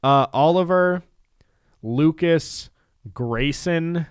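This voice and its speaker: A man's voice: on the high side, very slow, smooth, no echo, studio quality. A young man co-hosting a podcast in casual chat, laughing often as he reads out lists and adds asides. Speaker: podcast co-host.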